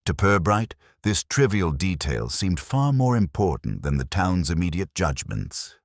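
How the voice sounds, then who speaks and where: A man with an extremely deep voice narrates a scripted mystery story. His intonation moves about a good deal within a measured, literary delivery. The sound is close and dry, with little room to it. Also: sing-song, no echo.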